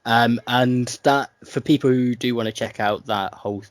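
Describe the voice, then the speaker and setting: A man's voice: medium pitch, steady tone, normal speed, no room sound. A man co-hosting a casual music podcast, talking in a wry, joking way. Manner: wry, joking, mimics people in quotes. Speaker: podcast co-host. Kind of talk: casual chat about music.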